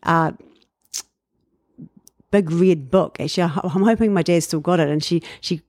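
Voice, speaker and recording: A New Zealand woman in her fifties, telling her life story in a relaxed interview, reflective with frequent fillers and light laughs. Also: fairly low pitch, moderate pace, dry recording, clean studio sound. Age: 50s. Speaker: guest. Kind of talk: casual interview chat.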